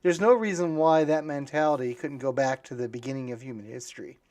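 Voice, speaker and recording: A man's voice: medium pitch, fairly quick, a little mellow. A man, an author, talking in a casual discussion, hesitant and thinking aloud as he goes.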